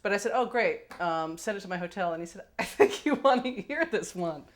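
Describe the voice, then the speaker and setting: A woman's voice: mid-range voice, expressive, fairly quick, a little hiss or hum. A woman speaking candidly in an interview, wry and hesitant as she recollects. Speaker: film production executive, interviewee.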